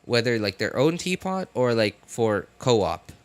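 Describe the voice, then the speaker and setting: A man's voice: medium pitch, big pitch swings, measured pace, clear, resonant tone, dry recording. A man talking casually to live stream viewers while he plays, rambling and thinking aloud as he repeats himself. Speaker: live streamer, gaming content creator.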